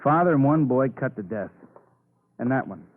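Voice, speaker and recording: An actor playing a weary, needling police detective who rambles through rhetorical questions in a vintage radio crime drama. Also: male voice, mid-range voice, lively intonation, natural voice. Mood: tired, somber. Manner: slow-building, repeats the listener's name, asks rhetorical questions. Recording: noisy, narrow-band old radio broadcast.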